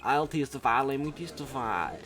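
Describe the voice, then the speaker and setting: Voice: medium pitch, moderate pace, over music, voice pushed up, natural voice. A streamer voicing a frantic, earnest, goofy young man bursting to testify in a courtroom game.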